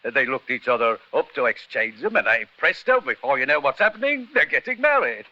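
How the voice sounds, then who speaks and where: A man's voice: medium pitch, very animated, brisk. An actor playing a chatty, jovial village postman in a 1940s radio drama, bantering and boastful. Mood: jaunty. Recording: dull lo-fi 1940s radio recording.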